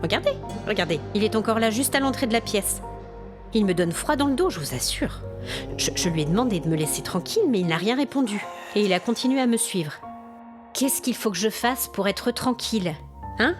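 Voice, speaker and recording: A woman's voice: mid-range voice, expressive, fairly quick, over music, lightly acted. A woman playing an exasperated, haughty hotel guest with complaints, in an acted audio fiction monologue. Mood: agitated, recounting. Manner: haughty, aggrieved, demanding. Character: indignant, exasperated female hotel guest complaining about ghosts.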